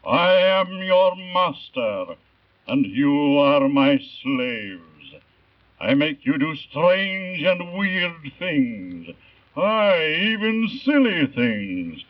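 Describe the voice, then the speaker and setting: An actor playing an imperious god of superstition in a 1930s radio drama, declaiming pompously on a dull vintage recording. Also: male voice, on the high side, sing-song, exaggerated voice. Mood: gloating, mocking. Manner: pompous, slow, theatrical declaiming. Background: music.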